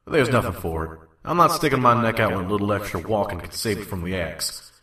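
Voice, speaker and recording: A jaded pony trader narrates his wasteland travels , as acted by a man, folksy and drawling. The voice is low and forceful. It sounds roomy and set back from the mic. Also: expressive, quick pace. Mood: weary, grumbling. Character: world-weary male pony trader wandering a wasteland, gruff narrator. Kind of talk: scripted first-person narration and audio drama. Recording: roomy, echoing, distant mic.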